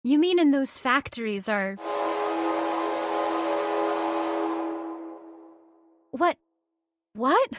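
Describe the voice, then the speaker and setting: A distressed heiress, played by an actress, questions in disbelief, halting and anxious. Her high voice moves slowly and swings widely in pitch, in the style of old-time radio horror. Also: animated, background noise. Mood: horrified. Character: distressed, disbelieving female heir to a factory fortune.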